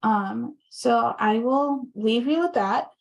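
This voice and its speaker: Hoarse woman on a video call, slight fry. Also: on the high side, takes it slowly, poor audio. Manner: soft-spoken, frequent ums and nervous laughs. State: slightly hoarse from long talking. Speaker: guest speaker. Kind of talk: presentation wrap-up and casual chat on a video call.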